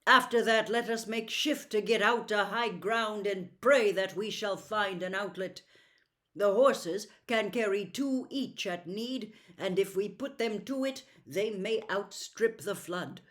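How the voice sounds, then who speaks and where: A woman's voice: mid-range voice, a little slow, a bit bright, close to an everyday voice. Woman playing a noble, declamatory storybook prince. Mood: resolute. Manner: declamatory, chivalrous, formal. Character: noble, valiant young prince with archaic courtly speech. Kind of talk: audiobook character voice.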